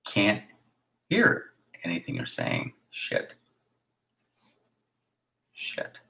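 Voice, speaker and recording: Low raspy guy rambling on a live stream, chatty, drops to a whisper sometimes. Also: huge pitch swings, extremely slow. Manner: hushed at times, drifting into whispers; chatty, with fillers and restarts. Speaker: filmmaker hosting a live stream.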